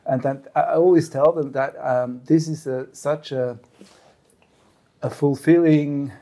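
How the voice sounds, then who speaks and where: A middle-aged man, a psychiatrist, speaks as a panel guest in a warm, mellow voice. He sounds earnest and reflective, and his voice often drops away at the ends of phrases. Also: medium pitch, steady tone, slow. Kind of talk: panel discussion answer.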